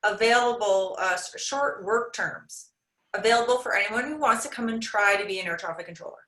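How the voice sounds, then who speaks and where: A woman's voice: mid-range voice, fairly quick, a bit bright. A woman with a Canadian accent hosting a casual panel webinar. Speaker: webinar host. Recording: roomy echo on webinar call.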